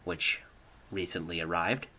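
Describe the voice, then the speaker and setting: An American man hosting an amateur radio show, narrating in deliberate, drawn-out phrases with pauses, recorded at home on a hobbyist microphone. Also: low voice, pushed and bright, a little hiss or hum, low-quality recording. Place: home studio. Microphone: older hobbyist microphone.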